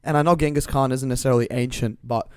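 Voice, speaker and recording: Slightly raspy young guy rambling. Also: mid-range voice, steady tone, fast, a little mellow, dry recording. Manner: rambling, laughs often. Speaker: podcast co-host. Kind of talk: casual podcast chat.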